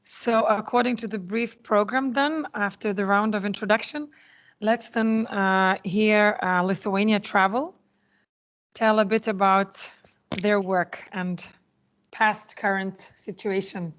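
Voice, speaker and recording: A woman's voice: medium pitch, steady tone, a little slow, fairly bright tone, dull audio. A woman moderating an online meeting, politely calling on participants and apologizing for technical trouble. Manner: polite, apologetic, brief laughs. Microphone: video call.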